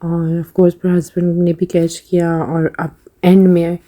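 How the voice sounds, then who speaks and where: A woman in her thirties with a Pakistani Urdu accent, narrating her daily routine in a casual voice-over while hoarse from a cold, with hesitations and throat clearing. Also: lowish voice, monotone, unhurried, dark tone. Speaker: vlogger.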